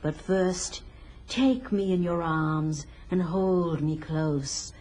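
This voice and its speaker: A woman's voice: on the low side, drawn-out words, a little mellow. An actress playing a seductive, menacing witch woman in an audio drama, with a theatrical, portentous delivery. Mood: sultry, mysterious. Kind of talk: 1980s audio drama acting.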